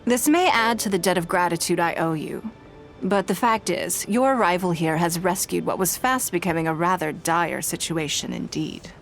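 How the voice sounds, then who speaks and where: A woman voicing a poised, authoritative noblewoman in a video game, speaking in a formal, measured, composed manner. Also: fairly low pitch, close to an everyday voice. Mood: composed, reassuring. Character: poised, authoritative noblewoman leader employing secretaries. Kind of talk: scripted video game dialogue. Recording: background music behind voice.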